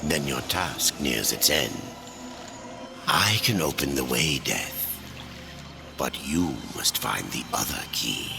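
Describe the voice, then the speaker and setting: A man playing an ominous, cryptic guide of a shadow realm in a game cutscene, portentous and gravelly. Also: a bass voice, animated, full character voice. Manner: slow, portentous. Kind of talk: video game cutscene acting. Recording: loud music behind voice.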